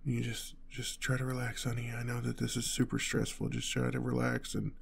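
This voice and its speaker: A man's voice: medium pitch, level tone, normal speed, gravelly, noisy background. A man playing a protective, anxious boyfriend on the run, stammering and tender, in an intimate audio roleplay. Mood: calming, weary. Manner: stammering, urgent, tender reassurance. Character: panicked, protective boyfriend fleeing a gunman with his partner.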